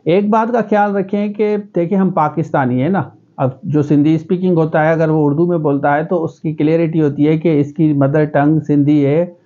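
A man's voice: a little high, steady tone, normal speed, hardly any pauses, highs cut off. A middle-aged man from Pakistan with a Sindhi accent, a native Sindhi speaker, giving earnest advice to camera as an educationist in a small room. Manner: earnest, gestures with both hands. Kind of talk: direct-to-camera advice vlog.

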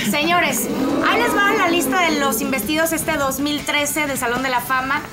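A high-pitched young woman with a Mexican accent hosts a sports panel in a TV studio, chatty and teasing. Music plays clearly underneath her voice. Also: normal speed. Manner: chatty, teasing, reads out a list with asides. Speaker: host. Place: TV studio set with audience.

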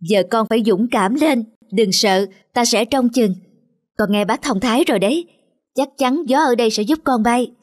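A woman's voice: a little high, normal speed, no echo, clean studio sound, natural voice. A woman voicing an anxious male cat in a cartoon, an earnest, worried foster parent. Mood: encouraging. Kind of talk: cartoon character acting.

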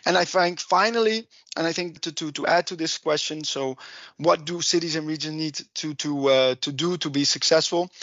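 Dutch-accented man, halting podcast talk. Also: a little high, fairly bright tone, no room sound, dull audio. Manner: halting, many restarts and fillers. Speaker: project and policy coordinator. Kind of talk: conversational podcast explanation.

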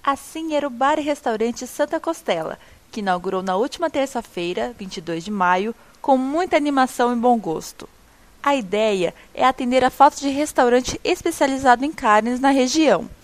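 Female Brazilian reporter, higher-pitched voice, scripted news narration. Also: lively intonation, moderate pace.

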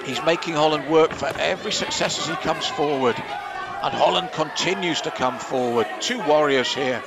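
A man calls a kickboxing fight live from ringside, picking apart the styles and weighing in with his own opinion. His voice sits a little high. A loud crowd and constant venue noise fill the background. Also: normal speed, creaky. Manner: analytical, gives personal opinions on the scoring. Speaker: ringside commentator.

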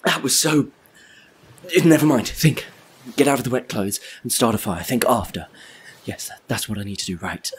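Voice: medium pitch, lively intonation, moderate pace, husky. An actor playing a wary, sarcastic human stranded by the sea in an audio play, with a dry, questioning manner. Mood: shaken.

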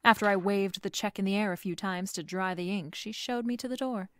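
A breezy female private detective, played by a woman in a noir audio drama. She is coolly playful and quick with a wisecrack. Her mid-range voice sounds close and dry. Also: mid-range voice, dry recording, natural voice. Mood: wry narration.

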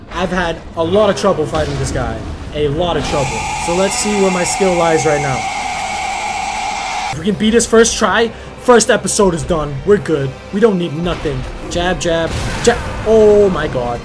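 A young man giving casual, excitable commentary while he plays a game, swearing a lot and yelling at it, going hoarse by the end. He talks into a headset mic in a small bedroom. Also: high-pitched. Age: young adult. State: hoarse, losing his voice. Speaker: gaming YouTuber. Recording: game music loud behind the voice.

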